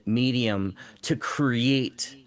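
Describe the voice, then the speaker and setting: A man's voice: medium pitch, drawn-out words, smooth. A man who teaches drama, speaking reflectively and informally in a panel discussion.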